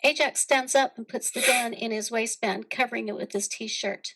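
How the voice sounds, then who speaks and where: A woman's voice: fairly high pitch, steady tone, moderate pace, fairly bright tone, slightly rough, poor audio. A woman reading scripted stage directions as a narrator.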